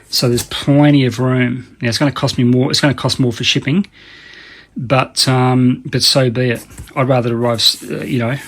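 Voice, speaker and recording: A man presenting a casual how-to demonstration in a rambling, colloquial way, often repeating himself for emphasis. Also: medium pitch. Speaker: hobbyist video presenter.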